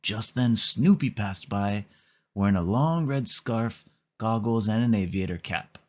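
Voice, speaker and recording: A man's voice: lowish voice, expressive, unhurried, dull audio. A man narrating a children's storybook as a scripted read-aloud.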